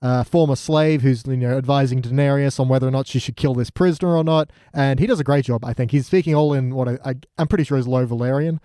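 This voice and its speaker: A man co-hosting a podcast, chatting casually about a show and retelling it in an animated, loose way. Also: mid-range voice, quick pace, hardly any pauses, smooth, no room sound, studio quality. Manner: animated retelling with mocking paraphrased dialogue and swearing. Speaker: podcast co-host. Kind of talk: casual review chat.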